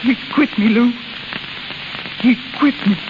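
A woman's voice: a little high, slow pace. An actress playing a jilted, scheming carnival woman in slangy American 1930s radio drama. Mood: bitter, hurt. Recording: muffled, hissy, crackling 1930s radio recording.

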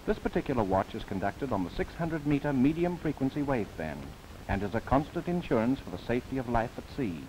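Male narrator for a 1930s government film, formal and measured, medium pitch, faint hiss. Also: sing-song, darkish tone, rough recording. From New Zealand. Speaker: documentary narrator. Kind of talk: scripted narration, 1930s newsreel. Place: studio voice-over.

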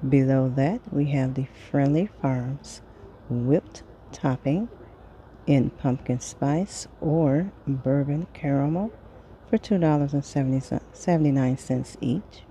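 A woman casually reading through a sales flyer item by item, pausing between phrases in an unhurried way. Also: a bass voice, dark tone, a little hiss or hum, poor audio. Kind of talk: casual product read-through.

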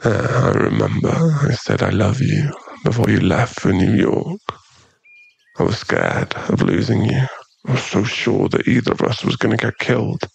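Man playing a low, gravelly, brooding mafia boss. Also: huge pitch swings, moderate pace, no room sound, full character voice. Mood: vulnerable, confessing. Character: brooding, protective male mafia boss in love. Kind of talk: ASMR boyfriend roleplay.

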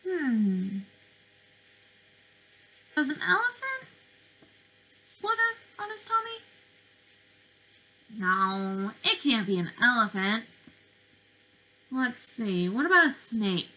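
A young woman leads a read-aloud for preschoolers at an unhurried pace. Her voice sits fairly high and has a bright, clear edge. She speaks expressively, like a teacher, posing questions and leaving pauses for little listeners. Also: big pitch swings, rough recording. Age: young adult. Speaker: speech-language pathologist presenting to preschoolers. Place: bedroom, indoors.

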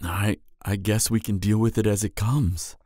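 An actor playing a devoted, lovestruck young knight in an audio drama, speaking in a halting, earnest and emotional way. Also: male voice, on the low side, steady tone, darkish tone, dry recording, clean studio sound, lightly acted. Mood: tender, teasing. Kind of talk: intimate audio drama acting.

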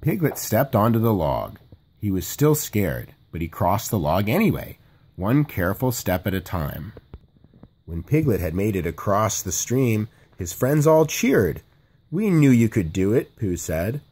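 A man narrates a children's storybook. His reading is expressive, with widely varied pitch and a husky edge. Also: unhurried. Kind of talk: children's storybook read-aloud.

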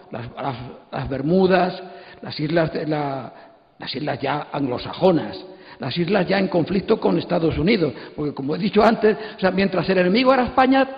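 A man's voice: a little high, expressive, normal speed, gravelly. A man in his sixties with a Castilian Spanish accent, speaking emphatically and digressively as a panelist, repeating phrases as he explains. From Spain. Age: 60s. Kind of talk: animated informal lecture to an audience. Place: stage of an auditorium. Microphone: handheld microphone. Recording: echoey hall PA, dull sound.

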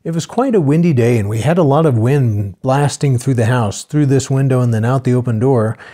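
Older male homesteader, relaxed voice-over, warm low-key tone. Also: brisk. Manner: plain, steady, matter-of-fact explaining. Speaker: homesteader narrating a build video.

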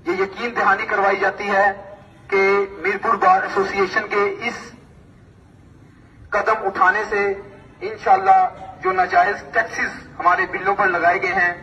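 Male mosque announcer, Pakistani accent, high forceful voice, declaiming through a distant public-address loudspeaker. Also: measured pace, pauses often. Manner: declamatory, phrase-by-phrase delivery. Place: heard from a rooftop outdoors. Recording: distant echoing outdoor loudspeaker, muffled, noisy.

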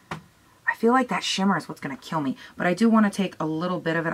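A young woman with a raspy voice chatting casually through a makeup tutorial at home, gushing and talking to herself as she goes. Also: lowish voice. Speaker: beauty vlogger. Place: small room at home, indoors.

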